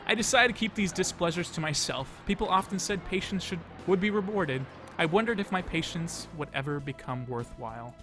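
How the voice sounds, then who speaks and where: A man reading a visual novel aloud as a let's play host, dramatizing the story and dropping in casual asides. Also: a little high. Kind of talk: expressive read-aloud narration of a visual novel. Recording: music behind the voice. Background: game music.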